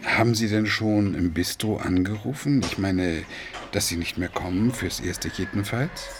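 A man's voice: on the low side, normal speed, noisy background, close to an everyday voice. An actor in his sixties playing a kindly, flustered widowed pensioner who gets defensive, in a naturalistic radio drama. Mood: friendly, slightly flustered. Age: 60s.

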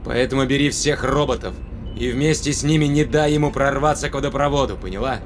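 An actor playing a stern, stubborn but shaken starship captain in an audio drama, with a commanding, terse, intense delivery. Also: male voice, mid-range voice, a little fast, very noisy, natural voice. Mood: resolute. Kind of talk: dramatic audio play acting. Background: clear background music.

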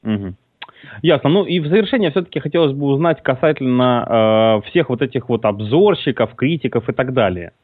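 A man asking interview questions over the phone in a measured, questioning way. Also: medium pitch, animated, no room sound. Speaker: prank caller posing as interviewer. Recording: dry tinny phone line.

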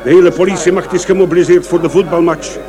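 An actor playing a pompous, pious busybody bystander in a radio play, speaking in a preachy manner. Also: male voice, high-pitched, fairly even intonation, fast talker, music in the background, natural voice. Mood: resigned.

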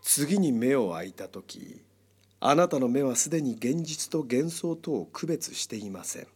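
An actor playing a controlling male psychiatrist in a radio drama, speaking in a measured, formal, hypnotically coaxing way. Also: medium pitch, expressive, a little mellow, slightly rough. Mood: hypnotic, controlled.

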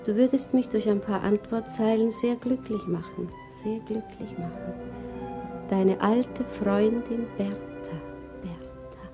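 An impulsive, longing widow in a 1960s radio play. Her voice is dark and mellow, intimate and half to herself, as she muses and composes her words. Faint music sits behind her. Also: mid-range voice. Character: yearning, impulsive widowed mother, privately musing and writing.

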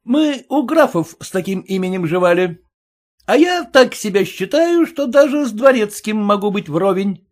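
A man voicing an eager, ambitious footman who flatters his employer and adds servile endings to his words. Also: sits high, moderate pace, pitched above the performer's own voice. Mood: eager fawning. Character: fawning ambitious male footman, puffed-up.

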